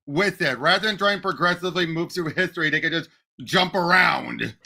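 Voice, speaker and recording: A man's voice: fairly high pitch, a little fast, vocal fry, bright, forceful tone. A man co-hosting a podcast, chatting casually and emphatically about films and games, with firm opinions. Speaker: podcast co-host. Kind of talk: casual film and game discussion.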